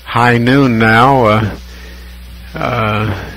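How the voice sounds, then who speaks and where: An American man chatting casually as a ham radio operator over shortwave, measured and hesitant, pausing often as he describes things. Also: mid-range voice, fairly even intonation, fairly bright tone, a little hiss or hum.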